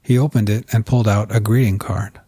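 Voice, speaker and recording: A man narrating a scripted story as a fiction podcast host, with a measured storytelling delivery. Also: fairly low pitch, steady tone, mellow, husky, no echo.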